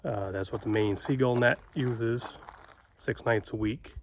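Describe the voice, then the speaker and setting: A man's voice: medium pitch, unhurried, a little hiss or hum. A man with a folksy, plain-spoken manner, giving a casual, informal how-to explanation as a video host. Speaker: homestead video host and ham radio operator.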